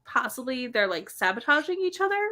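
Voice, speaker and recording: A woman with a fairly high, very bright voice talks casually and informally. Her pitch swings widely, giving her chatty delivery an animated, expressive feel. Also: a little fast. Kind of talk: casual book chat.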